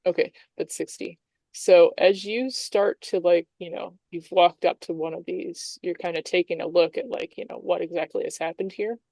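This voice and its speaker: Woman, game master, slightly low pitch, vocal fry, dry sound, conversational tabletop narration. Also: steady tone, normal speed, a little mellow, dry recording. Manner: conversational, explanatory.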